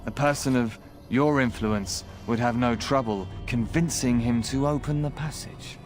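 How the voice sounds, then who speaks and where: An actor playing a sly, courteous underworld dealmaker in a video game, speaking formally and insinuatingly. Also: male voice, medium pitch, unhurried, music in the background, lightly acted. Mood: conspiratorial, polite. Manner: smooth-talking, formal, insinuating. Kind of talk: video game character dialogue.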